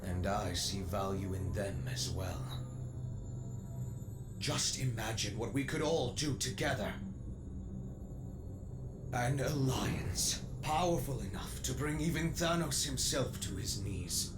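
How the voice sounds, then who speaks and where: A man's voice: medium pitch, lightly acted. Man as a grave, echoing alien prince. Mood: persuasive, ambitious. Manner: measured, grave, oratorical. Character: solemn, ambitious alien warlord's rebellious adult son. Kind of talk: video game dramatic acting. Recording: echoey, loud music behind.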